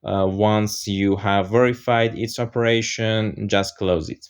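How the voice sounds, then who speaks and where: A man with a fairly low voice and Persian as his first language walks through a software tool over a screen recording. He explains each step steadily, often pausing on "uh". The voice-over has faint mouse clicks underneath. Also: smooth. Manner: steady explaining with frequent "uh" fillers. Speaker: software tutorial presenter.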